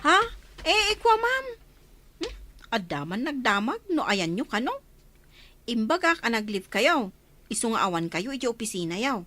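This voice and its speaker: High-voiced, nervous maid. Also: female voice, big pitch swings, moderate pace, long pauses, pushed and bright, heavily acted. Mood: flustered. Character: nervous, deferential female household maid covering for herself. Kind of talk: radio drama acting in Ilocano.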